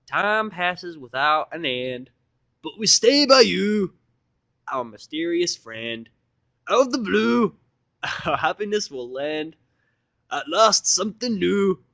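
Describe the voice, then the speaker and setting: A man voicing an excitable young female pony with gushing enthusiasm in an amateur fan fiction reading. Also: high-pitched, slow, gravelly, pauses often, no echo, voice pushed up, exaggerated voice. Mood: dreamy singing. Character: excitable young filly pony, girlish and singing.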